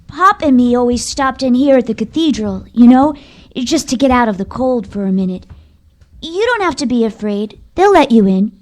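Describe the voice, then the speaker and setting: A woman's voice: fairly high pitch, animated, normal speed, lightly acted. A woman playing an earnest, plucky young boy, plain-spoken and eager, in an old-time radio drama.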